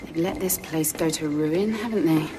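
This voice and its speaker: A woman's voice: low voice, moderate pace, noisy background, close to an everyday voice. An actress playing an earnest, uneasy female Time Lord who is no soldier, hesitant and questioning, in an audio drama. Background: faint background music.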